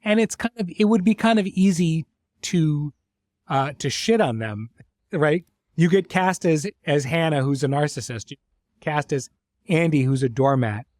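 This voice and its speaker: A man's voice: fairly high pitch, normal speed, a little mellow, no room sound. Guy hosting a podcast chat, thoughtful, stops and restarts, really dry sound. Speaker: host, series creator. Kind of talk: reflective conversational interview.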